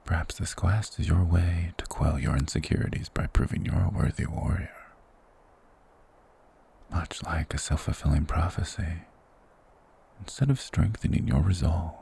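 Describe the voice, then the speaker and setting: Man voicing a very deep, gravelly forest spirit. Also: heavily acted. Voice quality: gravelly, breathy whisper. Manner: slow, hushed, hypnotic, formal, coaxing. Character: sinister, soothing male forest spirit luring a traveller. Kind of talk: ASMR fantasy roleplay.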